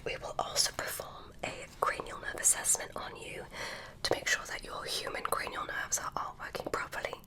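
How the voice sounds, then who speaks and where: A woman's voice: normal speed, close to an everyday voice. A woman voicing a curious, polite female alien examiner, whispering through an ASMR roleplay. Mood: clinical.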